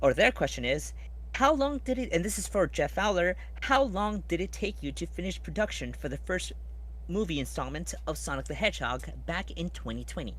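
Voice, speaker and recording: A woman's voice: fairly low pitch, lively intonation, a little fast, poor audio. A woman on a panel politely and carefully reading out an audience question. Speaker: panel co-moderator.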